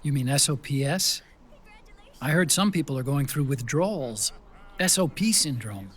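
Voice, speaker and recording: A man's voice: medium pitch, animated, normal speed, slightly rough. An actor playing a curious, wary male comrade in a military video game cutscene, plain-spoken and questioning. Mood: curious, easygoing.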